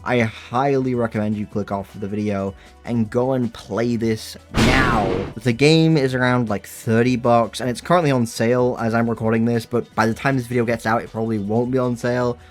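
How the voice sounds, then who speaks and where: A man's voice: medium pitch, fairly even intonation, a little fast, few pauses, faint music behind. A man narrating a scripted video essay in an earnest, enthusiastic manner, with casual asides.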